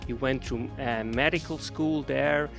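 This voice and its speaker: A man's voice: mid-range voice, a little slow, vocal fry, fairly bright tone, faint music behind. A man hosting an interview show, reading a guest introduction and asking questions. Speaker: podcast host.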